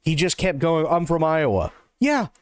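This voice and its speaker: A man with a slightly higher voice rants and scoffs at a showcase stream, cursing freely and picking things apart. His voice comes across smooth and clear. The mic is close and dry, with no room sound. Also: sing-song, normal speed, dry recording. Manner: sarcastic ranting, mocking voices of others. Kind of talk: profane live-stream commentary.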